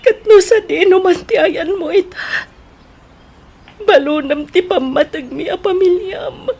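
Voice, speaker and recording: High-pitched, tender, fretful mother played by a woman, Ilocano accent, plaintive radio drama delivery. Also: a little slow, a little mellow. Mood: sorrowful, tender. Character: anxious, tender, devout mother of ailing children.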